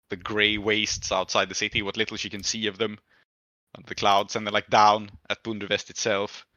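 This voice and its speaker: A man's voice: lowish voice, sharp tone, no room sound, dull audio. A man improvising narration as game master in a tabletop roleplaying game, thinking aloud as he goes.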